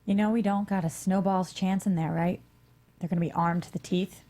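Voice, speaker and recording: Actress playing tough woman getaway driver, guarded, lowish voice, crime comedy script read. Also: fairly even intonation, brisk, warm tone, natural voice. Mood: wary.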